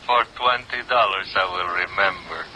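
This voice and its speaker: An actor playing a sly, wheedling Algerian employment agent with a halting, insinuating way of talking in a radio drama. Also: male voice, low-pitched, bright, forceful tone. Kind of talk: 1950s radio drama acting. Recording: hissy old radio recording.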